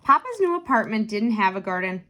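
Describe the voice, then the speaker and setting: A woman narrating a picture book for children, expressive and deliberate, lingering on key words. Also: mid-range voice. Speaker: tutor reading a children's book aloud.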